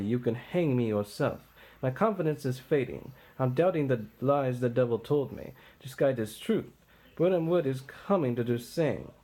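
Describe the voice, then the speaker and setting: Man performing bitter tyrant king, doomed, combative, declamatory, widely swinging pitch, stage tragedy. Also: a little mellow, lightly acted. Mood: angry, resolute.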